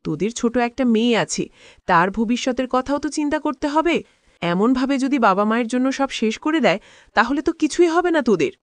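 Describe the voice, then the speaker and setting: Bossy, meddling mother-in-law type for a moral cartoon, played by a woman; admonishing, raised pitch, close dry recording. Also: lively intonation, fast talker, no room sound, natural voice. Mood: scheming, insistent. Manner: insistent, admonishing. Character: meddling, domineering mother of a married daughter. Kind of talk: cartoon drama dialogue.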